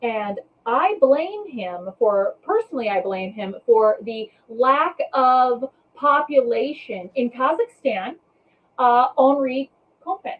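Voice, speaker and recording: Slow-talking high-voiced woman on a dull distant mic. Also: lively intonation. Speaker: co-host. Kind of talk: casual podcast chat.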